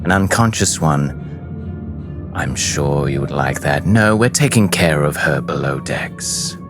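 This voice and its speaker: A man's voice: a bass voice, animated, a little slow, over music. A man voicing a stern, ceremonious female ship official from a sea-turtle people in a fantasy tabletop game. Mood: stern, formal.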